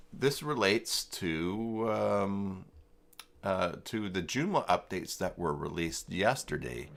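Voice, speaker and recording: A man with a fairly low voice hosts a casual live tutorial on website tools. He speaks informally and thinks aloud, often halting mid-sentence. His delivery is that of someone demonstrating on screen while chatting with those watching. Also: a bit bright.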